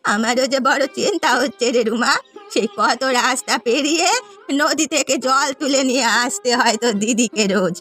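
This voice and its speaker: A woman voicing a kindly, worried old village grandmother in a cartoon, with slow, drawn-out, quavering speech. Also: high-pitched, a bit bright, faint music behind, pitched below the performer's own voice.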